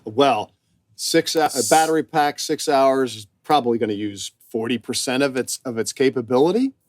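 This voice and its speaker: A man co-hosting a casual chat, speaking haltingly and repeating words as he thinks aloud. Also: medium pitch. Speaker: podcast co-host, boat captain.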